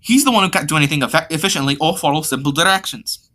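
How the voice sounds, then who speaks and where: An irritable, bossy boy from a school story voiced by its reader. He talks fast and forcefully, a fussy perfectionist with no patience. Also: mid-range voice, lightly acted. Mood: impatient.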